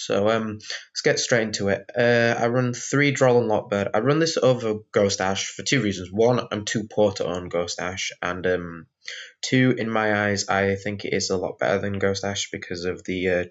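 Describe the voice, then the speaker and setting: A man's voice: low-pitched, a bit bright. A young man with a sore throat, sounding groggy, rambling through a casual deck walkthrough with lots of ums and uhs. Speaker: card game deck reviewer. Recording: dull lo-fi recording.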